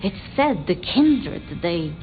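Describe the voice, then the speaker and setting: A woman voicing a timid, bookish, deferential vampire scholar in video game dialogue, speaking haltingly and apologetically. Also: lowish voice, very animated, narrow, tinny audio. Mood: hesitant curiosity. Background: background music.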